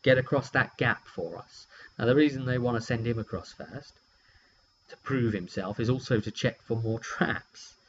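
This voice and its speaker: A man's voice: mid-range voice, normal speed. British man rambling, muffled lo-fi recording. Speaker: tabletop game player recounting a session. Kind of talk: casual rambling storytelling to camera. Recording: muffled lo-fi audio with hum.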